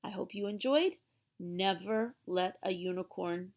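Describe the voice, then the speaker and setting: A woman's voice: mid-range voice, measured pace. A woman reading a storybook aloud for young children in a theatrical way, drawing out words for emphasis.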